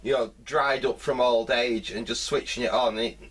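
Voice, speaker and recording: A man's voice: mid-range voice, steady tone, normal speed, fairly bright tone, slightly rough, poor audio. A man giving a casual hands-on repair commentary, thinking aloud hesitantly in fragments. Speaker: host of a vintage electronics repair video.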